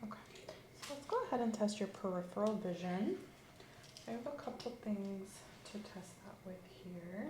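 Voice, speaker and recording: A woman speaking softly and slowly as a clinician in an ASMR roleplay exam, often dropping to a whisper. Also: mid-range voice, echoey room, background noise. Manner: slow, gentle, instructive, often whispering. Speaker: ASMR creator playing an examining clinician. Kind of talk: soft-spoken roleplay physical exam.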